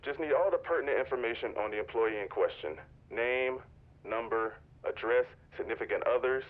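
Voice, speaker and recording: An actor playing a curt, shady private investigator in a video game, clipped and businesslike over a phone line. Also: male voice, medium pitch, brisk. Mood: cool, businesslike. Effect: phone call filter. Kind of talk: naturalistic video game acting. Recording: tinny, narrow phone line.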